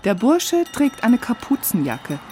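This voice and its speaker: A woman's voice: a little high, huge pitch swings, a little slow, dark tone. A woman reading literary first-person narration for a radio feature, recorded as a close voice-over. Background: music.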